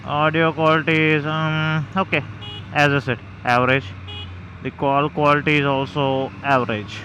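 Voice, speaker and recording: A man's voice: on the high side, little pitch movement, normal speed, bright, forceful tone, poor audio. Indian man vlogging casually over background noise. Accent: Indian, Telugu. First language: Telugu. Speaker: motovlogger reviewing gear. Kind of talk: casual unscripted vlog commentary.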